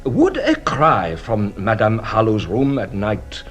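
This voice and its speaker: A sly, courtly police inspector from a radio mystery. His pitch swoops wildly as he teases and probes with great deliberation. Music plays along with the drama. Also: wildly swooping pitch, heavily acted. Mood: probing. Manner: courtly, teasing, deliberate questioning. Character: theatrical, playfully sly French police inspector. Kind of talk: British radio drama acting.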